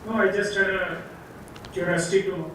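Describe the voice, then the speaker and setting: A man, a student asking questions in a halting, tentative way. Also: high-pitched, flat delivery, fairly bright tone. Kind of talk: hesitant question and answer. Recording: echoey room, distant mic, background noise.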